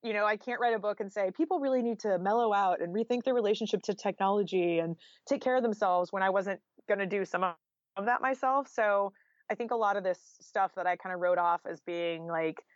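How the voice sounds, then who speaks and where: A woman's voice: mid-range voice, a little fast, no room sound, muffled recording. An American woman talking informally and candidly as a guest author in a podcast interview, peppering her speech with "like" and "you know.".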